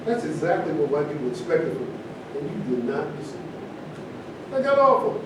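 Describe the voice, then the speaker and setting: A man's voice: sing-song, normal speed. A man acting an opinionated, provocative character in a stage play reading, arguing in a declamatory way. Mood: combative. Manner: argumentative, declamatory. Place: echoey room at a live staged reading. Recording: distant mic, very echoey room, noisy rumble.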